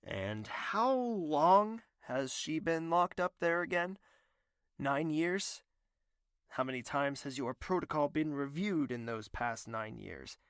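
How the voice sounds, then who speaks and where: A man's voice: on the high side, lively intonation, slow pace, a bit bright, clear, resonant tone, dry recording, studio quality, full character voice. A man playing a lovesick, stubborn young prince in an audio drama, earnest, formal and melodramatic. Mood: authoritative, probing.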